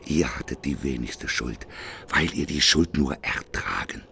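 An actor playing a ragged, scornful doomsday prophet in a radio play, shifting between grumbling and solemn proclamation. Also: male voice, very deep voice, expressive, unhurried, creaky, a little hiss or hum, exaggerated voice. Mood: thundering, prophetic. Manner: grumbling asides swelling into loud, solemn proclamation. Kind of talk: German radio play acting.

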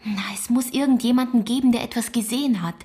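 A woman's voice: on the high side, quick pace, warm tone, lightly acted. An actress playing a worried, insistent teenage girl, a protective sister, in a radio drama. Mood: anxious, probing.

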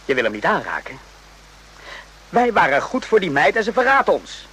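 A very raspy, forceful soldier in a radio drama. His high, strained voice swings widely as he defends himself with indignation. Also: wildly swooping pitch, normal speed, exaggerated voice, low-quality recording. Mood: accusing, bewildered. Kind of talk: radio play acting.

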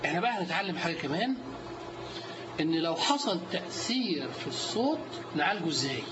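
A man with an Egyptian accent lectures to a class in a quick, high voice and keeps firing questions at his students. The recording is dull and lo-fi, with steady noise underneath. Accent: Egyptian Arabic. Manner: lively, quizzes the students often. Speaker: instructor.

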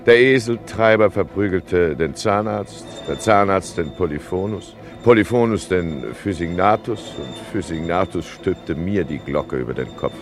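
An actor playing a weary, dignified judge in a radio play, narrating in a measured, deadpan manner. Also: male voice, sits low. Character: weary, dignified male judge recounting chaos drily.

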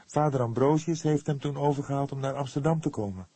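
A man voicing an earnest, devout young woman in an audiobook, speaking patiently and with solemn emphasis, quick to defend herself. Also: mid-range voice, dark tone, husky, no echo, pitched above the performer's own voice. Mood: earnest, explaining.

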